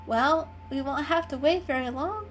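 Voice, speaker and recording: A woman's voice: high-pitched, normal speed, faint music behind, voice pushed up, lightly acted. A woman voicing a skeptical but kindly female cat sidekick in a storybook reading, speaking emphatically. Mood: doubtful, exasperated.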